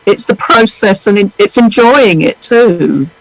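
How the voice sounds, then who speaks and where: Deep-voiced woman, halting and earnest. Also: lively intonation, a little hiss or hum, rough recording. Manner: earnest, halting, with frequent pauses. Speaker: viewer calling in.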